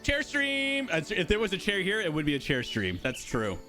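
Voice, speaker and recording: A man's voice: high-pitched, huge pitch swings, moderate pace, bright, forceful tone, soft background music. A man hosting a casual live stream for a game studio, chatting and answering viewers' questions. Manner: chatty, rambling, talks to chat.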